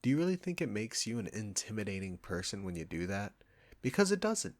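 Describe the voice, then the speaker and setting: A man acts out a proud restaurant chef with a low voice. He is combative and sarcastic, talking straight at the listener in an audio roleplay with an irritable, condescending edge. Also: very animated, brisk. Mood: exasperated, scolding.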